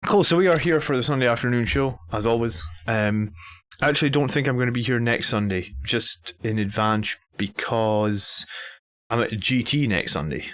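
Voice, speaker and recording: A man hosting a live stream in an easygoing, chatty way. He greets viewers one by one and talks with plenty of ums. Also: fairly low pitch. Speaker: host of a live army list stream. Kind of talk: casual live-stream chat.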